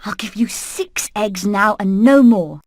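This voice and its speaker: A British woman playing a determined, plucky hen in an animated video game, speaking in a brisk, earnest, rallying way. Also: medium pitch, dry recording, clean studio sound. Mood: firm, businesslike. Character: determined, plucky female hen leading an escape plan.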